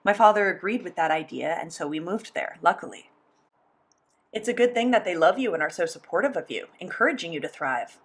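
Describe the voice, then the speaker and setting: A woman voicing a sign language interview as interpreter, speaking in a measured, steady first-person delivery. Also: lowish voice.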